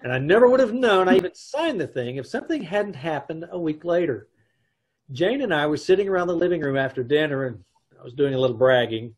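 A man's voice: fairly high pitch, expressive, brisk, breathy edge. A man acting an exasperated, sarcastic husband who also narrates in an old-time radio comedy, recorded over a video call. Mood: wry. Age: middle-aged. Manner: dry, incredulous, blustering. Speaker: amateur radio troupe actor. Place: home room, on a video call.

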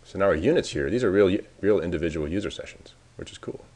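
A man's voice: low voice, lively intonation, quick pace, darkish tone. A man narrating a casual screencast tutorial as the presenter, informally thinking aloud as he works. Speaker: software presenter giving a demo.

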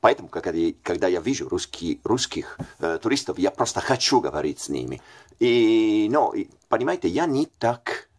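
Deep-voiced hesitant Italian man. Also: very animated, normal speed. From Italy. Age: 40s. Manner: hesitant, many fillers and self-corrections. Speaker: language learner vlogger. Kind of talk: casual talk to camera. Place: small bright room indoors.

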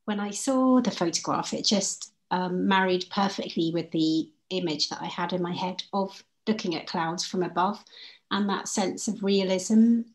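A woman's voice: lowish voice, normal speed. A woman poet on a video call, talking informally and reflectively as she answers questions. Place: indoors at home, on a group video call.

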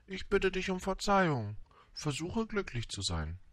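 A man voicing a proud, gentle and stubborn female flower in a storybook, tender yet vain. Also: sits high, big pitch swings, no echo, voice pushed up, exaggerated voice. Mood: tender, apologetic. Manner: tender yet vain, brisk when hurt.

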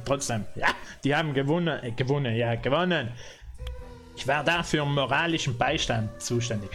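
A man's voice: mid-range voice, normal speed, fairly bright tone, faint music behind. A man in his thirties streaming a game indoors on a headset, rambling and joking with his viewers. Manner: rambling, joking, laughing often. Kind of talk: casual gaming livestream chat. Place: indoor room.

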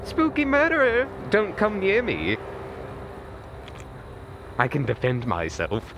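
A man's voice: high-pitched, huge pitch swings, slow pace, background noise. Stammering nervous man in night woods. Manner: nervous, stammering, repeats words, voice cracks. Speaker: vlogger. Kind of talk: mock-scared comedic night vlog. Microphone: handheld camera.

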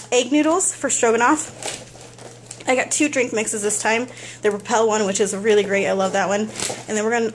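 A woman's voice: mid-range voice, a little hiss or hum. A young woman in her kitchen, chatting casually as she goes through a grocery haul item by item, recorded close on a handheld phone. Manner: chatty, listing items one after another. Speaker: vlogger. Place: home kitchen, indoors.

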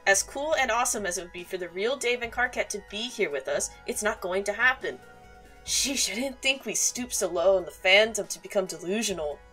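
A woman plays a breezy young female fan in a cartoon dub. Her voice is bright and medium-pitched, with a casual, teasing, slangy delivery. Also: expressive, a little fast, soft background music, low-quality recording. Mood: easygoing. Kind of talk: animated comic dub voice acting.

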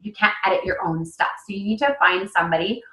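A woman's voice: mid-range voice, quick pace, a bit bright. A woman in her thirties, an author giving a casual online lesson over a webcam, talking loosely with fillers and restarts. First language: English. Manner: conversational, many fillers and restarts. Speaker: author presenting a webinar. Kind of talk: casual instructional talk. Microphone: webcam on a video call. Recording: echoey room on a webcam mic.

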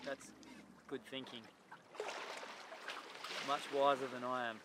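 A man's voice: medium pitch, level tone, takes it slowly. An Australian man in his thirties, casually interviewing someone as host, out on a boat. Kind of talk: casual chat. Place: outdoors on a sailboat at sea. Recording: clearly noisy outdoor boat audio.

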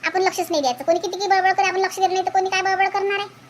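A woman voicing several bickering village women in a cartoon, shrill and scolding, with a Varhadi Marathi accent. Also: flat delivery, very fast, slight background noise. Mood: soothing. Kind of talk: cartoon voice acting.

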